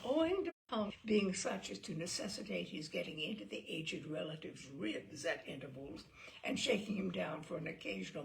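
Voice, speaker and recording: A woman storyteller narrating a humorous short story in an arch, theatrical, expressive way. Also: medium pitch, vocal fry, rough recording. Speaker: story reader.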